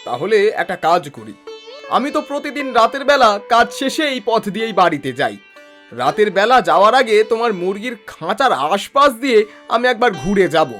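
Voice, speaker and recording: A performer voicing a helpful, concerned village man in a children's cartoon, earnest and expressive in a familiar way. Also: medium pitch, fairly quick, faint music behind. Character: helpful, concerned village neighbour named Ratan, male. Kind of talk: Bengali children's cartoon dialogue.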